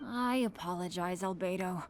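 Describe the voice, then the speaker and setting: A woman's voice: lowish voice, normal speed, lightly acted. A woman voicing an upbeat, sincere young adventurer boy in a video game, eager and a little naive. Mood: apologetic. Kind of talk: video game cutscene acting.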